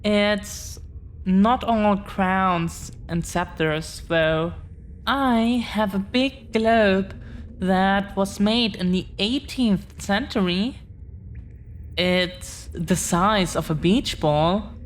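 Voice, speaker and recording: An affectionate, proud dragon-blooded girlfriend, played by a woman, speaks in an intimate audio roleplay. Her pitch is average for a woman and her delivery is extremely unhurried, teasing, with long pauses. There is clear noise in the background of the recording. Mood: proud, bragging.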